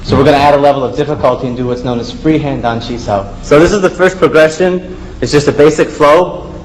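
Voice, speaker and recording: A man's voice: a little high, fast, a little hiss or hum, low-quality recording. A man giving plain, explanatory commentary as he demonstrates martial arts drills as a student presenter.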